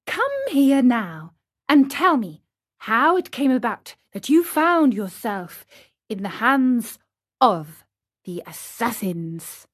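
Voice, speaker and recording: A woman acts out a motherly storybook fairy. Her voice is high and unhurried, with plenty of melody. She is patient and gently scolding. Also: sing-song, full character voice. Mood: gentle scolding. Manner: patient, admonishing. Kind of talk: storybook character reading.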